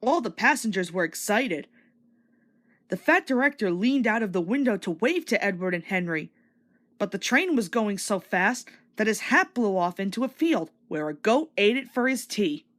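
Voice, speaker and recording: Woman narrating with very lively intonation. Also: medium pitch, normal speed. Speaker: storyteller, read-along narrator. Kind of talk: expressive scripted children's story narration.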